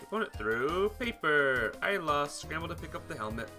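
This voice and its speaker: A man playing and reading a visual novel aloud in an expressive way while chatting to viewers. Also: fairly high pitch, moderate pace. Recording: music behind the voice. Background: game music.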